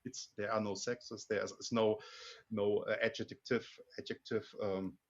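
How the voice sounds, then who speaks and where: A man from Germany with a German accent, a guest in a casual interview about learning languages, talking haltingly with many restarts and repeated words. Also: lowish voice, level tone, lo-fi sound.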